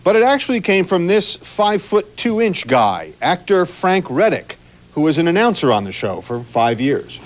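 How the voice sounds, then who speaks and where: A man's voice: sits high, big pitch swings, moderate pace. A man talking conversationally as an informed guest in a television discussion. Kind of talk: conversational TV interview.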